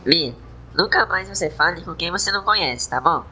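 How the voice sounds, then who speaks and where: A woman's voice: on the low side, animated, fairly quick, bright, forceful tone, a little hiss or hum. A woman playing a protective, inquisitive young woman in a radio drama, speaking directly in a northeastern Brazilian accent. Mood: firm warning.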